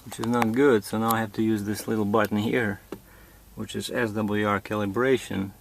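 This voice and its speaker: Low-voiced, gravelly man giving halting instructions. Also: flat delivery. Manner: halting, improvised, thinking aloud. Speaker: demonstrator giving setup instructions. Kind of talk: informal hands-on tutorial. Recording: clearly noisy amateur recording.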